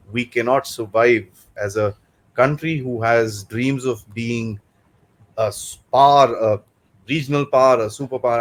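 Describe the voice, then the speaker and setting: Indian male host, mid pitch, emphatic intro read. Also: poor audio. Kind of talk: scripted show introduction.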